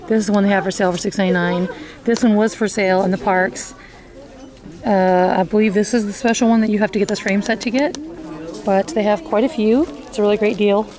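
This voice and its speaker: A woman's voice: mid-range voice, a little mellow. Woman, 30s, casual shopping vlog, upbeat chatter, handheld camera at arm's length, indoor store. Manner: chatty, upbeat, reads out prices, frequent gasps and little laughs. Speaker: shopping vlogger. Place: large indoor retail store. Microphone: handheld wide-angle camera at arm's length.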